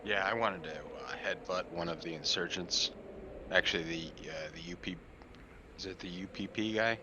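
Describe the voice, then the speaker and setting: Deep man on a noisy, muffled mic. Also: moderate pace. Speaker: player. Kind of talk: casual tabletop play.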